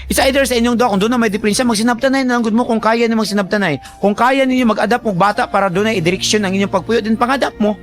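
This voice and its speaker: A man's voice: high-pitched, very fast, few pauses, soft background music. A man with a Filipino Cebuano accent hosting a radio advice segment, talking in a colloquial, teasing, conversational way to a letter sender. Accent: Filipino, Cebuano Bisaya. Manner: animated, colloquial, teasing, acts out imagined remarks.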